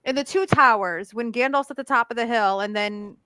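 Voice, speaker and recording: Co-host: woman from Connecticut, bright over-enunciated speech, dry close sound. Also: fairly high pitch, a little fast, clear, resonant tone, no echo, low-quality recording. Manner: over-enunciates her words. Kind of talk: casual chat.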